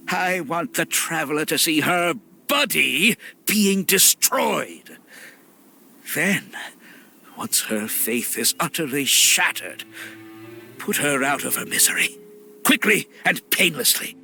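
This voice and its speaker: Smug game villain, highish voice, slow n dramatic, super gloaty, music under it. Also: male voice, creaky, bright, forceful tone, full character voice. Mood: gloating, cruel. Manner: smug, mock-courteous, dramatic. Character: theatrical, gloating male villain scheming for his master's approval. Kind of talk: video game cutscene acting.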